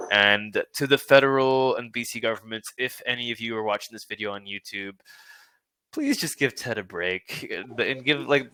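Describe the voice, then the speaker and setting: A man's voice: on the low side, sharp tone, no room sound, low-quality recording. A man hosting a podcast interview whose first language is not English, talking earnestly with many fillers and frequent laughs. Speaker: podcast host. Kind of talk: casual interview chat.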